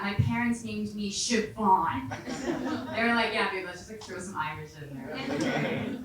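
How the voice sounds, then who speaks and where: A woman from China doing a brash, crude stand-up set. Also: mid-range voice. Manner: brash, crude, deadpan jokes. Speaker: stand-up comedian. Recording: echoey club from far off, loud noise.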